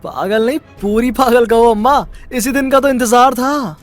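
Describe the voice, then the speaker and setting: A man's voice: very high-pitched, steady tone, moderate pace, soft background music. A man voicing a callous, impatient young husband in a family drama story, harsh and scornful.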